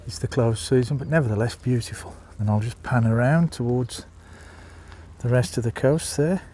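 A man's voice: medium pitch, moderate pace, mellow, slight background noise. Chatty man with a Birmingham accent. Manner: chatty, addresses viewers as folks. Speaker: vlogger. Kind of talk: walking tour narration. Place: outdoors, walking a small seaside town.

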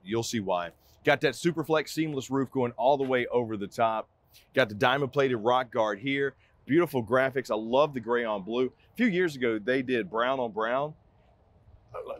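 A man in his forties giving an upbeat, chatty walkthrough as an RV salesman, inside a trailer and then out on a dealer lot. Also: mid-range voice. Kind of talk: casual product walkthrough.

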